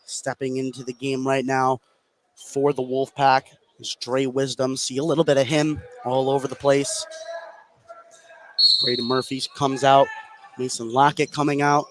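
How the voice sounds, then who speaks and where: An American man doing live radio basketball play-by-play, getting excited on big plays. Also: mid-range voice, measured pace, noisy background. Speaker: play-by-play announcer.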